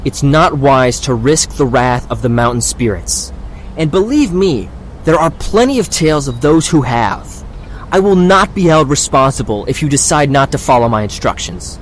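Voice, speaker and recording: A man's voice: mid-range voice, expressive, moderate pace, slight background noise, natural voice, low-quality recording. A man acting a stern young hiking guide in an audiobook drama, firm and authoritative, lecturing his group. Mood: stern, warning.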